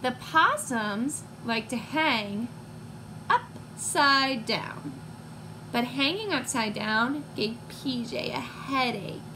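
A woman in her twenties reading a picture book to young children, expressive and teacherly, pausing to put questions to listeners. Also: on the high side. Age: 20s. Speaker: read-aloud storyteller for young children. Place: small room at home, indoors. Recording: clear background noise, home recording.

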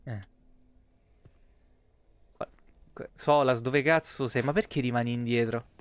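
Sweary man with a clear voice. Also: mid-range voice, fairly even intonation, a little slow, dry recording. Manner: sweary, blunt, wry. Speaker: video game streamer. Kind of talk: casual live gameplay commentary.

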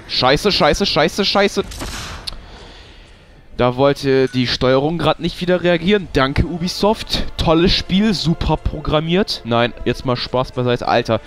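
A young man, giving casual gameplay commentary, swearing and ranting when he loses. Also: medium pitch. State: has a cold. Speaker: let's play host.

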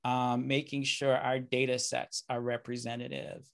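Gravelly-voiced man giving a thoughtful lecture. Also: medium pitch, little pitch movement. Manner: measured, reflective, many um and you know fillers. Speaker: keynote speaker. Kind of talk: lecture over slides. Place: indoor room, video call.

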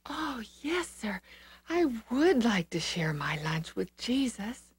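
A woman's voice: on the high side, sing-song, takes it slowly, husky, no room sound, pitched above the performer's own voice, exaggerated voice. A woman voicing an eager, polite little boy in a children's Bible storybook. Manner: polite, wide-eyed, earnest.

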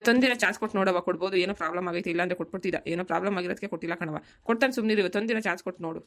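A woman's voice: lowish voice, rapid-fire, lightly acted. A woman playing an easygoing young village son in a cartoon family story, pleading and full of excuses. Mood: evasive, pleading.

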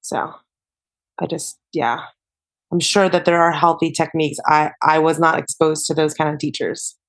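A young woman with a somewhat low voice talks casually as a podcast guest. She rambles in a relaxed way, peppering her sentences with "like" and "you know". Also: moderate pace. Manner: chatty, many fillers like "like" and "you know". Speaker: podcast guest, singer-songwriter. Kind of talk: casual interview chat.

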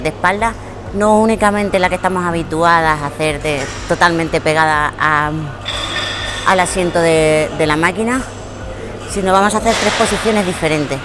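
A woman in her thirties explaining gym exercises on a lapel mic, in a relaxed teaching way with frequent fillers and repeated words. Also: lowish voice. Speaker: fitness trainer. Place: large indoor gym. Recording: music playing behind the voice. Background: background music.

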